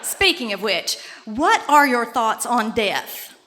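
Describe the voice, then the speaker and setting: A woman acting as a brisk moderator in a live radio comedy sketch. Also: on the high side, very animated, bright, forceful tone, heavily acted. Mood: questioning.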